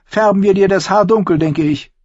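A man voicing an imperious, anxious noble lady who talks haughtily and briskly. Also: darkish tone, dry recording, highs cut off. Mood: haughty. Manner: haughty, brisk, high-strung.

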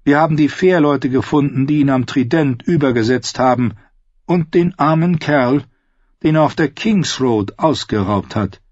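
A man voicing a calm outlaw singer in an audiobook, teasing and falsely courteous. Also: mid-range voice, moderate pace, no echo, muffled recording, voice pushed up, natural voice. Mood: mock-friendly. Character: wry outlaw singer, mockingly polite and calm. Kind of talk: audiobook character voice.

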